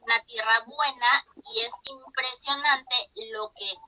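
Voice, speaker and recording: A woman's voice: sits high, fairly even intonation, takes it slowly, background noise. A Mexican woman interviewing a friend over a long-distance call, speaking earnestly and with wonder. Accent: Mexican Spanish. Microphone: video call.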